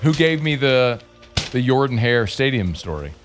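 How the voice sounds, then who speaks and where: A man hosting a sports talk radio show in a studio, teasing and needling his co-host in quick back-and-forth banter. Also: medium pitch, sing-song, faint music behind. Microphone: studio microphone.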